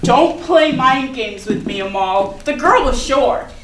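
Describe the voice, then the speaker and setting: Anxious, apologetic schoolgirl played by a woman, very high animated voice, staged reading in a roomy space. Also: slow. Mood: puzzled, insistent. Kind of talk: staged play reading, teen dialogue. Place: indoor room at a staged reading. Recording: roomy, distant mic, faint noise.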